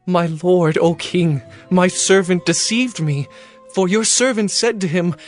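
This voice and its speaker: A humble, wronged subject, pleads in a notably high male voice. It is biblical drama with faint music behind. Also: measured pace. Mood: anxious pleading. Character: aggrieved, humble male royal subject explaining himself. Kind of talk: dramatized scripture acting.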